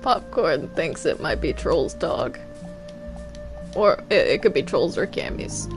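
An excitable, stammering online gamer chatting casually in character during a roleplay game. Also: mid-range voice, slow, music in the background. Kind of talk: casual in-character roleplay chat while gaming.

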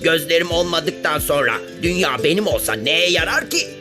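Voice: medium pitch, lively intonation, normal speed, over music, lightly acted. A performer voicing a sulky but earnest cartoon frog who is learning to be happy, answering simply. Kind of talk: children's cartoon dialogue.

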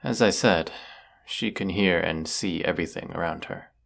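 Man acting a low, gravelly brooding wizard. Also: a bit bright. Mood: curt, impatient. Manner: stern, formal, deliberate delivery. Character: brooding villainous wizard of the woods, secretly lovelorn. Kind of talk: fantasy audio roleplay acting.

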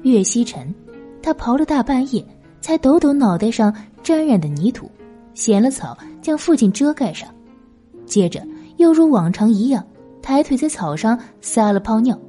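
A woman's voice: on the high side, very animated, moderate pace, warm tone. A woman reading a historical novel as dramatic scripted audiobook narration. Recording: music behind the voice. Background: music.

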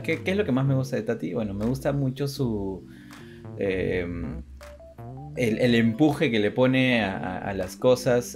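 A young man co-hosting a channel, talking in casual unscripted chat. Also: fairly low pitch. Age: young adult. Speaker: co-host of a two-person channel. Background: background music.

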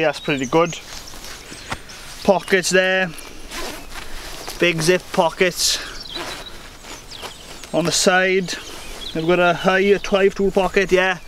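A man's voice: sits high, fairly bright tone, slight background noise. A young man, a beekeeper, chatting casually through a product review while standing outdoors in a rural field, with birds in the background. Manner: chatty, upbeat, thinking aloud. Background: birdsong and faint outdoor ambience.

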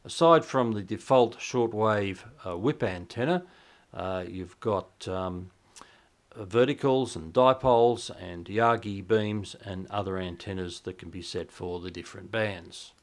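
Australian male tutorial narrator, lowish pitch, measured explanatory delivery. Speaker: shortwave radio hobbyist, presenter. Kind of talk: instructional software walkthrough narration.

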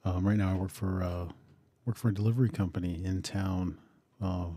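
A man in his forties from Western Pennsylvania, co-hosting a radio talk show in an easygoing, halting way, with frequent uhs. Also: deep voice, fairly even intonation, dark tone, breathy edge, dry recording. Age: 40s. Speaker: co-host.

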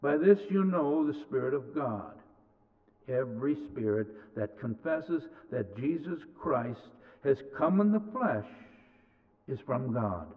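Slow, deliberate man reading in a church. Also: mid-range voice, sing-song. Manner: deliberate, emphatic phrasing. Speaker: scripture reader. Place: large church sanctuary. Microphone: pulpit mic, far camera. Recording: tinny, reverberant church sound.

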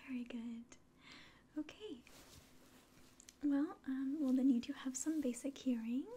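A woman in her twenties whispering gently and breathily in an ASMR medical exam roleplay, giving polite, hesitant instructions. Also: high voice, takes it slowly, a little hiss or hum. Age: 20s. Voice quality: breathy whisper and very soft speech. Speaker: ASMR roleplayer playing an alien doctor. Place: small indoor room at home.

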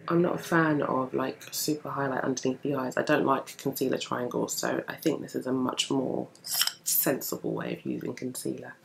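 A young woman with a deep, gravelly morning voice, chatting casually and explaining while she does her makeup in front of a bookshelf. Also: a little hiss or hum, low-quality recording. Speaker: beauty vlogger. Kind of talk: casual makeup tutorial chat. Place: indoor room in front of a bookshelf. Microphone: camera at desk distance.

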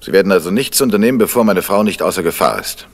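An actor playing a composed, worried middle-aged gentleman sleuth in a crime radio play, speaking with controlled insistence. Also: deep voice, animated, quick pace. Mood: worried, insistent. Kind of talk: 1970s German crime radio play acting.

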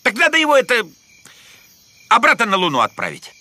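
A man's voice: very high voice, wildly swooping pitch, a little fast, sharp tone, no room sound, full character voice. A man voicing a grumpy, stubborn know-it-all bear in a cartoon, blustering and whining as he stammers complaints. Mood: bossy, excited. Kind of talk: animated cartoon voice acting. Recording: music behind the voice.

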